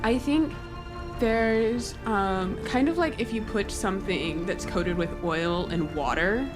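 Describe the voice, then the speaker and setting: Slow, measured woman narrating over music. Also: mid-range voice. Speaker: player running a creature. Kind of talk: narrating tabletop roleplaying game.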